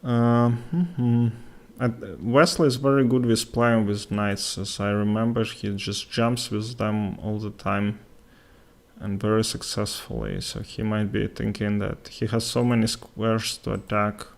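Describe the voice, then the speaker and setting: Man, Russian accent, chess commentary, halting, unhurried, creaky voice. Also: fairly low pitch, steady tone. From Latvia. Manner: halting, with many fillers and pauses. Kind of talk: live chess commentary with chat.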